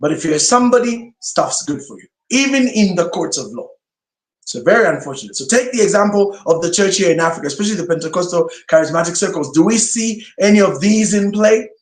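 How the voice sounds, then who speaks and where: A man's voice: high-pitched, expressive, a little fast. A male pastor whose first language is Swahili, giving an emphatic sermon-style teaching talk full of rhetorical questions. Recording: roomy echo.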